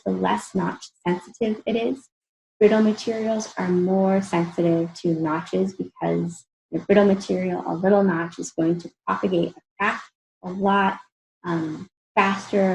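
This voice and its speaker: A tired woman gives a recorded engineering lecture over slides, her voice gravelly and croaky at a middle pitch. She talks through each step plainly and casually, and a faint hiss sits under the recording. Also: rough recording. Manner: explains step by step in a relaxed, informal way. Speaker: engineering instructor.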